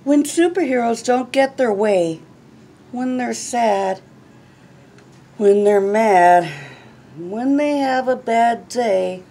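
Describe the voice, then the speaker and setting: A middle-aged woman reading a children's picture book aloud in an expressive, deliberate, phrase-by-phrase way. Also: fairly high pitch. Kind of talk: read-aloud storytelling. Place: small classroom, indoors. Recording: clearly noisy room recording.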